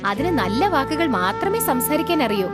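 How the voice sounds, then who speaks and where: A woman voicing an expressive wife in an animated children's fable. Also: high-pitched, moderate pace, over loud music. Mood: proud. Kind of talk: animated children's story dialogue.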